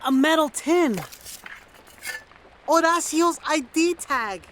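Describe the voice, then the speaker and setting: An actress playing an inquisitive teenage twin solving a mystery in an audio drama. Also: female voice, sits high, a bit bright, slight background noise. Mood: curious. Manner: inquisitive, quick questions. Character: curious teen twin sleuth.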